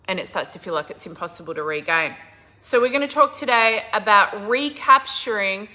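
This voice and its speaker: Croaky, husky-voiced woman coach. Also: medium pitch, animated, normal speed, pauses often. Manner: enthusiastic, emphatic, swings from loud to quiet. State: hoarse, croaky voice. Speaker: business coach. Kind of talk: casual livestream talk.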